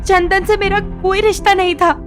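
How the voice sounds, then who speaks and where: Young wife played by a woman, high voice, defensive and evasive, bitterness underneath, audio drama with music behind. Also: little pitch movement, normal speed, fairly bright tone. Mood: frightened, pleading. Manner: guarded, defensive.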